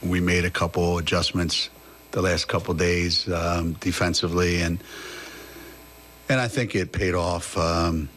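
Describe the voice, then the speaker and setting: A man in his sixties, a head coach answering at a press conference, halting with many fillers and restarts into a table mic. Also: low voice, steady tone, fairly bright tone, slight background noise.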